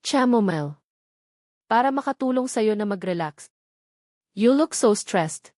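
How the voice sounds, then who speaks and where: A woman narrator acts out a jealous schemer from an audio romance drama. She taunts her rival with fake sweetness and venom, slipping English into her lines. The sound is dry, with a mid-pitched voice. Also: expressive, a little slow, a little mellow, no room sound, lightly acted. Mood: falsely sweet. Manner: mocking, taunting, mixes English into Tagalog.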